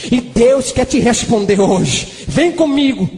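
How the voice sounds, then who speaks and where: A man's voice: very high voice, expressive, slow pace, darkish tone, a little hiss or hum. A man with a Brazilian Portuguese accent preaching a Pentecostal testimony in a fervent, exclamatory manner. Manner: fervent, exclamatory, breaking into tongues. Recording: long hall reverberation.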